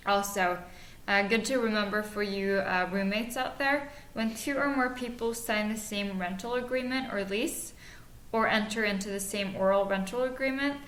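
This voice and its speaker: A woman in her twenties from Norway, co-hosting a podcast and giving practical advice in a measured way with frequent fillers. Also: medium pitch, a bit bright. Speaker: podcast co-host. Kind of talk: scripted podcast chat. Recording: echoey room, faint noise.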